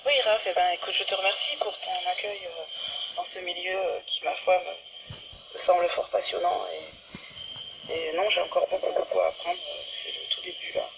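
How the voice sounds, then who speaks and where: A woman speaking hesitantly and politely, with long pauses, as a beginner amateur radio operator in a casual exchange. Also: fairly low pitch, fairly even intonation, creaky. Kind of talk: casual radio contact chat. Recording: dull, noisy two-way radio signal.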